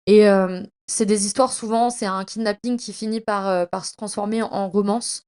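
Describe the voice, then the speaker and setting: A young woman chatting casually as a podcast host, in a slangy, effusive and emphatic way, often halting and stumbling over her words. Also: mid-range voice, steady tone, a little mellow, smooth. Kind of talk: casual, chatty monologue about reading.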